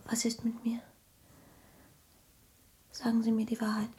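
An actress playing a troubled, quietly desperate English wife in a German radio drama, with hushed, searching questions. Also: female voice, a little high, monotone, measured pace, husky. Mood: frail, fearful.

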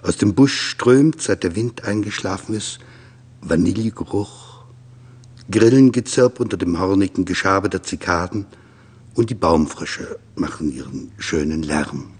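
A man's voice: low voice, a little slow, darkish tone, husky. A man reading descriptive literary prose in a scripted radio style.

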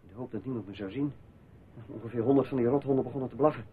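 An actor playing a nervous, superstitious small-time thief in a radio play, mouthy and mock-polite, on an old recording. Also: male voice, mid-range voice, rapid-fire, close to an everyday voice. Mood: spooked, low. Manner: sardonic, colloquial, mock-polite. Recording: tinny narrow-band old radio recording, noisy.

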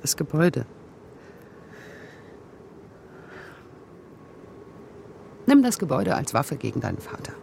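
A woman's voice: low-pitched, very animated, fast talker, dark tone, exaggerated voice. An actress playing a needy, insistent, moneyed older woman in a radio drama, urgent and coaxing. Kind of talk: modern German radio drama acting. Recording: clearly noisy ambient background.

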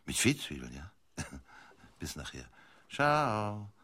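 An actor playing an easily distracted, reluctant announcer in a radio play, casual but given to pompous officialese. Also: male voice, fairly low pitch, sing-song, slow pace, pushed and bright, breathy edge, full character voice. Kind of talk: German radio play acting.

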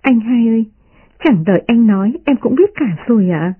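A performer voicing a playful, sharp-tongued young noblewoman who mocks and teases, in a storybook reading. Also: medium pitch, lively intonation, moderate pace, breathy edge, phone-quality sound, voice pushed up. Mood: teasing. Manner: playful, mocking.

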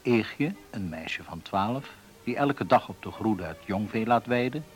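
A man narrating a scripted radio drama in a deliberate storytelling manner. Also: lowish voice, lively intonation, faint music behind.

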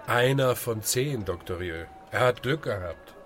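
An actor playing a calm, wry, probing volunteer leader, speaking with dry irony in a radio drama. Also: male voice, medium pitch, a little slow. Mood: firm.

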